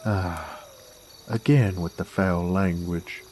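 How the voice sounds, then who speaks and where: Guy doing a low slow gravelly werewolf bounty hunter, old west drawl, smug n taunting, audio drama thing. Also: darkish tone, a little hiss or hum. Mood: quietly threatening. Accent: American Western drawl. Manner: folksy, taunting, unhurried drawl. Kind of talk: Western audio roleplay, intimate dramatic monologue. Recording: faint outdoor night ambience with crickets. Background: crickets and insects.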